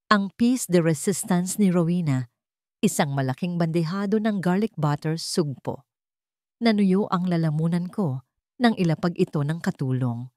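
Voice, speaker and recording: A woman's voice: fairly low pitch, mellow, dry recording, studio quality. A woman with a Filipino accent reading a dramatic first-person story as an audiobook narrator.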